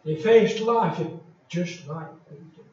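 An older man from England, a retired pastor, preaching in a slow, deliberate and emphatic way with many pauses. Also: high-pitched, darkish tone. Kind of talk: sermon. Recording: echoey hall from far off, dull lo-fi.

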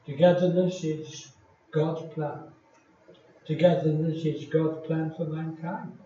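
Old english pastor preaching, emphatic with long pauses, a bit high voiced, sounds distant and muffled. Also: male voice, steady tone, mellow, a little hiss or hum. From England. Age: older. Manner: slow, deliberate, emphatic preaching with many pauses. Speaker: retired pastor. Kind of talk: sermon. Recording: echoey hall from far off, dull lo-fi.

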